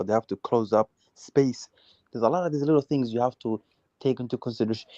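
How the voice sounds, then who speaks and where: A man's voice: lowish voice, mellow, dry recording, muffled recording. A man from Uganda hosting a heated casual football debate, insistently repeating words and restarting his sentences.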